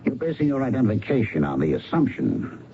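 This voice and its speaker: An actor playing a polite, persistent investigator with tactful, probing delivery in an old-time radio drama. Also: male voice, mid-range voice, very animated, fairly quick. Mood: tactful, insistent. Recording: dull, noisy old radio recording.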